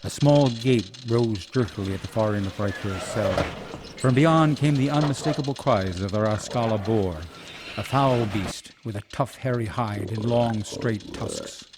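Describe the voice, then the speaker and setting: A man's voice: fairly low pitch, measured pace, background noise. A man giving scripted, dramatic narration as the storyteller of a fantasy radio play.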